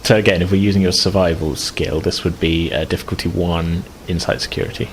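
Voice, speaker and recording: A man's voice: low-pitched, steady tone, fairly quick, poor audio. A man running a tabletop game as game master, improvising descriptions and often groping for words. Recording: clear background noise.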